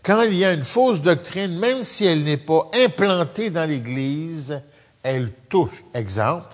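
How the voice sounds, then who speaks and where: A man's voice: fairly high pitch, lively intonation, a little slow. Man teaching a Bible study in a hall. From France. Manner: conversational, asks the audience questions. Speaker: pastor.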